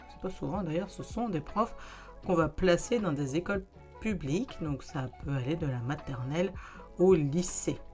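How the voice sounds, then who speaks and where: A young adult woman hosting a casual vlog, explaining things in a chatty way straight to her viewers. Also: lowish voice. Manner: chatty, conversational, addresses viewers directly. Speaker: YouTuber giving practical advice.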